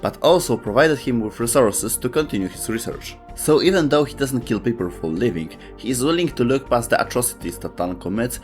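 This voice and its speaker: A man's voice: mid-range voice, expressive, a little fast, few pauses. A man reading scripted explainer narration as the narrator of a video. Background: background music.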